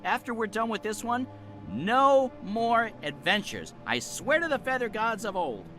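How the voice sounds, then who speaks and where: A man's voice: sits high, very animated, unhurried, sharp tone, soft background music, full character voice. A man voicing a small, wisecracking, cowardly talking bird in a video game, grumbling with melodramatic sarcasm.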